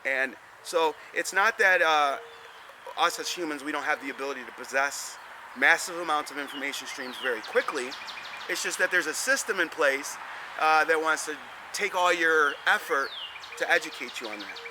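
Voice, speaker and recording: A man's voice: on the high side, animated, normal speed. A man hosting a business advice show, talking in a rambling, folksy way straight to his listeners and often repeating words. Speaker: host giving business advice. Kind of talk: casual monologue to camera. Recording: outdoor traffic noise behind.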